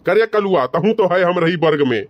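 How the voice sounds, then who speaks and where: A man's voice: high-pitched, expressive, moderate pace, lo-fi sound. A man voicing a bickering, boastful village man in a comedy cartoon, with a rustic Awadhi accent from eastern Uttar Pradesh. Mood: scheming. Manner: colloquial rustic banter.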